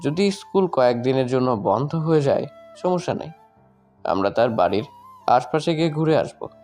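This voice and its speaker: A man's voice: medium pitch, animated, normal speed, a little mellow, soft background music, close to an everyday voice. A man playing a supportive, reassuring schoolboy best friend in an audio love story. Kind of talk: Bengali audio story acting.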